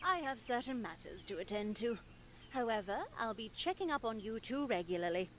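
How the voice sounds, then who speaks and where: A woman playing a stern, preachy nun in a visual novel, speaking formally and with measured care over faint noise. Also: on the high side, expressive, natural voice. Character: stern, sanctimonious nun lecturing two young women in her care. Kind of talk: dramatic visual novel voice acting.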